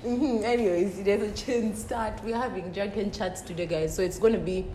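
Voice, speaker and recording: A young woman from Uganda hosting a casual, tipsy chat with friends, animated and giggly in slangy English. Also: mid-range voice, normal speed, a loud crowd. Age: young adult. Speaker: podcast host. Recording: roomy echo, faint background noise.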